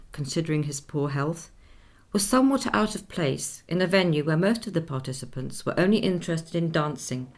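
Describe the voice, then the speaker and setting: A composed woman recounts a story in a radio drama. Her voice is very low for a woman, with a marked creak at the edges. She speaks in measured, articulate sentences, like someone carefully telling a history she knows well. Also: a little mellow. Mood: measured, wistful. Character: Miss Lind, composed woman recounting a composer friend's last tour. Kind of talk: scripted radio play narration.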